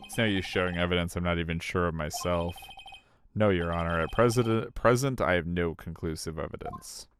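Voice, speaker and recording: A man's voice: low-pitched, a little fast, slight background noise. A man voicing the defense lawyer in a fan voice-over of a courtroom game, earnest and hesitant.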